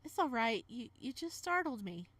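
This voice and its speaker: A woman's voice: on the high side, very animated, normal speed, a bit bright, dry recording. A woman voicing a self-conscious, exasperated young man, the protagonist of a visual novel, with sarcastic grumbling. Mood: apologetic.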